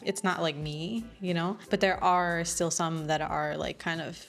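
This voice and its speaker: A woman in her thirties talks as a guest in a home living room. Her voice sits a little low, and she speaks thoughtfully, weighing her words and hedging as she goes. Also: steady tone, unhurried, vocal fry, a bit bright, soft background music. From United States. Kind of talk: casual chat.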